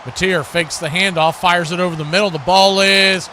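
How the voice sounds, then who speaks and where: A man's voice: high voice, moderate pace. An American man doing live football play-by-play, giving clipped present-tense calls mixed with chatty asides. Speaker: play-by-play sports commentator. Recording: clear steady background noise.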